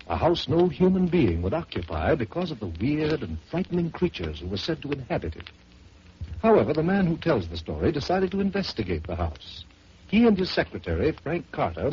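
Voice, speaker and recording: A man hosting an old radio storytelling show, reading a ghost story aloud in a measured, theatrical way. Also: mid-range voice, a little mellow, breathy edge. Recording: muffled old radio broadcast with hiss.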